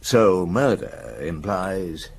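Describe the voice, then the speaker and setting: Low-voiced, shrewd detective. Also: male voice, big pitch swings, creaky, husky, heavily acted. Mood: probing, calm. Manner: probing, thoughtful, asks short measured questions. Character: shrewd, calm police chief inspector, a pipe smoker. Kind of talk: radio drama acting with first-person narration.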